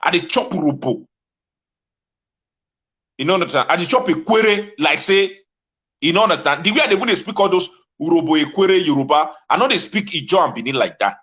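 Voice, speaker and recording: A man's voice: a little high, animated, a little fast, fairly bright tone. A Nigerian-accented man delivering an impassioned informal rant to his audience in Pidgin, exclamatory and insistently repeating his phrases. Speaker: talk show host. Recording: dull lo-fi audio.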